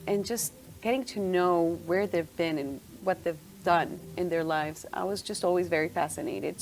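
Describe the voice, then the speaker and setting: A woman's voice: lowish voice, slight background noise. A middle-aged woman from Venezuela, answering interview questions in a chatty way with frequent fillers and restarts. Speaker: guest, senior move manager.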